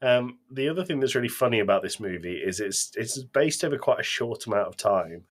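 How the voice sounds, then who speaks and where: A man's voice: mid-range voice, expressive, a little fast. A British man hosting a podcast in casual chat, sweary and sarcastic, often slipping into mocking quotes. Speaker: podcast host.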